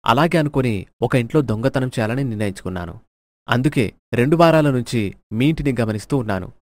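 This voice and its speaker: A man's voice: medium pitch, lively intonation, fairly quick, darkish tone, no room sound, close to an everyday voice. A man playing a remorseful thief in a storybook tale, earnestly and apologetically explaining himself. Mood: earnest, regretful. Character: remorseful, earnest male thief telling his hard story.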